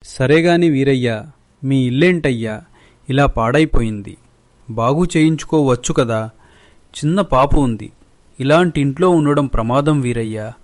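A man's voice: mid-range voice, a little slow, pauses often, close to an everyday voice. A man playing a kind, paternal, wealthy village employer in a moral cartoon. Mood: concerned. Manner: benevolent, paternal. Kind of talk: Telugu moral cartoon dialogue.

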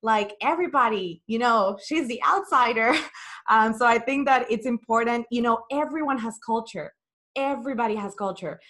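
A woman's voice: fairly high pitch, normal speed, fairly bright tone. A young woman from El Salvador presenting earnestly in a webinar over a video call. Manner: earnest, with fillers.

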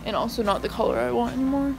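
A young woman chatters to her phone camera in a casual shopping vlog, sprinkling "like" everywhere. Her voice sits a little high. Heavy car and street noise runs under her. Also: very fast, vocal fry. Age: young adult. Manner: chatty, filler-heavy, lots of "like". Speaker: vlogger. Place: in a car and in stores. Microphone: phone camera at arm's length. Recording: loud road and street noise.

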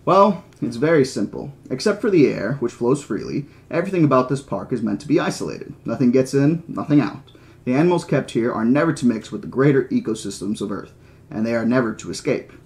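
Man reading as a flatly insistent lecturing mathematician. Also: mid-range voice, fairly quick, pitched below the performer's own voice, lightly acted. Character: male mathematician, lecturing, certain and condescending. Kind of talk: audiobook character reading.

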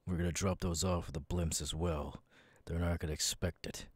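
A man voicing a stern, weary, guarded military commander in an ASMR audio roleplay, husky and brooding. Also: low-pitched, steady tone, normal speed, dry recording. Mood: businesslike. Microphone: close, dry microphone.

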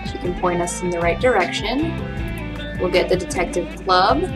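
A woman's voice: on the low side, lively intonation, normal speed. A young adult woman giving a casual tutorial as host, talking through what happens on her screen. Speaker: tutorial host, game developer. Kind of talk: casual screen-recorded software tutorial with read-out dialogue. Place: small home room, indoors. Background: background music.

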